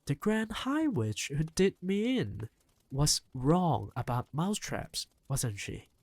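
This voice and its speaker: A reader voicing an excitable young boy in an audiobook, talking eagerly and shouting with excitement. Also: medium pitch, takes it slowly, no room sound, exaggerated voice. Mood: earnest. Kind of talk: audiobook character reading.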